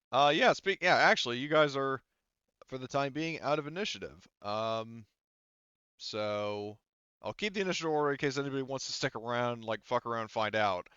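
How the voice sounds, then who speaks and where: A man's voice: mid-range voice, a bit bright, smooth, no echo, dull audio. A man acting as game master for a tabletop roleplaying game, explaining things casually and swearing freely.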